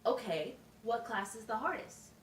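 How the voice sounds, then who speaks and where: High, lively woman as encouraging teacher puppet. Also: moderate pace. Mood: encouraging, instructive. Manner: instructive, question-led, plain wording. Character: encouraging, methodical special education teacher puppet. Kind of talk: scripted puppet show. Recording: roomy, distant mic, faint noise.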